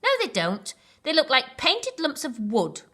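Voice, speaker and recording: Gloomy storybook rhino, a woman acting: self-conscious grumbler, forceful bright tone, big swings in pitch. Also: huge pitch swings, unhurried, husky, full character voice. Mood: fed up. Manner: complaining, emphatic. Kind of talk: children's storybook reading.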